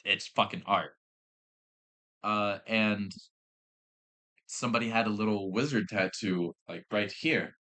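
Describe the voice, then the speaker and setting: A young man with a Southern accent who works in fast food, telling a work story in casual chat. He swears often, laughs a lot and acts out the people he quotes. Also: on the low side, pushed and bright. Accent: American Southern. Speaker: podcast co-host.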